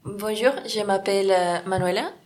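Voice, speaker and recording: A woman's voice: medium pitch, fairly even intonation, moderate pace, a little mellow. A woman from Colombia, a trapeze artist, answering interview questions casually with frequent hesitant fillers.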